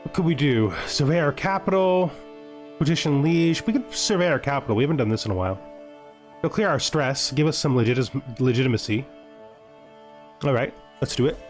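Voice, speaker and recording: A man hosting a gaming video with playful commentary, talking to himself in an animated way and often repeating phrases. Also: on the high side. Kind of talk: playful gameplay commentary with a scripted lore narration.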